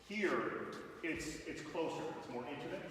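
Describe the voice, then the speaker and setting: Loud man in an echoey hall. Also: a little high, animated, unhurried, distant mic. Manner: loud, talks with many fillers and restarts. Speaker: school superintendent giving a site tour. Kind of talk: casual spoken walking tour. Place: large echoey auditorium under construction.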